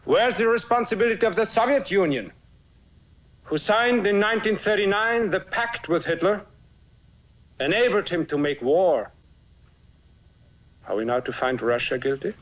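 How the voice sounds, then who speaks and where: Worked-up courtroom lawyer in a movie, high voice swinging all over, big oratory. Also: male voice, animated, normal speed, pauses often, low-quality recording. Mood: indignant, accusing. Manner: oratorical, emphatic, rhetorical questioning. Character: impassioned male defense lawyer arguing before a tribunal. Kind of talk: dramatic courtroom film speech.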